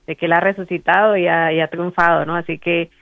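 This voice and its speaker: Woman co-host, soft and hesitant, tinny phone sound, dry. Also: lowish voice, steady tone, fast talker, gravelly, dry recording. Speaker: radio co-host. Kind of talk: casual radio chat.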